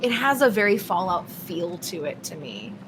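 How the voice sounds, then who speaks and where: A woman's voice: fairly low pitch, sing-song, moderate pace. Playful woman co-commentator, faint background noise. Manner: playful, effusive, uses internet slang. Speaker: stream co-commentator.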